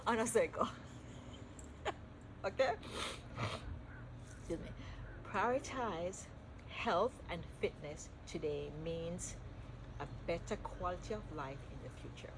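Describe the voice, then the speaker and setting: A woman's voice: lowish voice, background noise. A middle-aged Jamaican woman with a Jamaican accent, reading self-help tips aloud and chatting about them, stopping now and then to correct herself. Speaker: vlogger.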